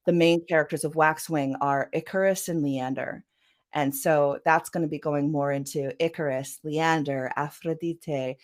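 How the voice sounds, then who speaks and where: A Canadian woman with a Vancouver accent speaks in a low, easygoing voice. She is an author guest in a relaxed interview, and her talk rambles with fillers and quick laughs. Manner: chatty, laughs often, many "you know" fillers. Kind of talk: casual interview conversation.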